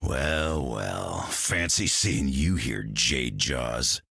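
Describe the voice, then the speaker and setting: Very deep, gravelly tough brawler. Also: male voice, expressive, very slow, sharp tone, dry recording, studio quality, exaggerated voice. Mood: taunting. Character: tough, taunting, gruff clawed mutant fighter. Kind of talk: video game character dialogue.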